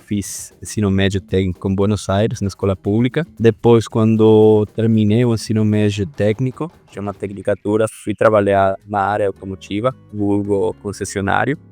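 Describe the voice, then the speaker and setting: A man in his thirties from Argentina, a podcast guest speaking Portuguese with an Argentine Spanish accent, telling his story in a relaxed, rambling way. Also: lowish voice, normal speed, few pauses, faint music behind. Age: 30s. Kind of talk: casual interview talk.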